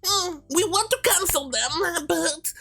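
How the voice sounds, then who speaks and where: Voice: extremely high pitch, animated, slow pace, creaky, bright, forceful tone, voice pushed up, full character voice. A performer voicing a prim, whiny online activist in a mocking read-out of posts.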